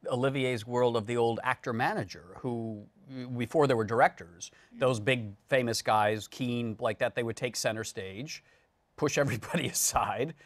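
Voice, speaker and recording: Male talk show host, medium pitch, conversational interviewing, close dry recording. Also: moderate pace, no room sound.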